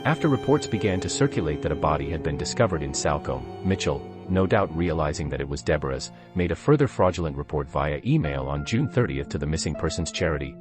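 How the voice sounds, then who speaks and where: A man reading scripted narration for a true crime documentary. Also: extremely low pitch.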